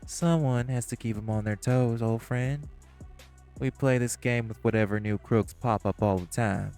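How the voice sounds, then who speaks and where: A smug, scheming gangster, played by a man, who mocks and teases the others. His voice sits at a middle pitch, with faint music underneath. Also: steady tone, moderate pace, dark tone, natural voice. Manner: smug, mocking, sly. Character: smug, scheming male gangster, sly and teasing. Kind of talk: audio roleplay acting.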